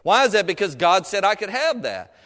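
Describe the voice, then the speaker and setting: High-pitched male preacher in a reverberant hall. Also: very animated, brisk, a bit bright. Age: middle-aged. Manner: exhortatory, punctuates points with amen and questions to congregation; folksy, colloquial phrasing. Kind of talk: sermon. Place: preaching to a congregation in a large room. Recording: reverberant hall with long echo.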